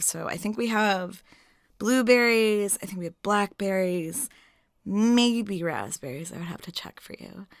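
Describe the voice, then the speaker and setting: Giggly, flirty woman with a creaky edge. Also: mid-range voice, dry recording, studio quality. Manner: giggly, chatty, casual, flirtatious. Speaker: audio roleplay performer. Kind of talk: intimate ASMR-style roleplay monologue.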